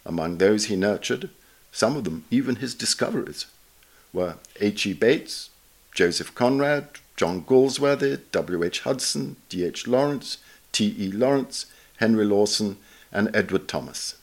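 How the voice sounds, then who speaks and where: A man narrating a written essay aloud in a measured, formal way with careful enunciation. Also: fairly low pitch, animated. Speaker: narrator reading an article aloud. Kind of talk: scripted narration of a literary essay.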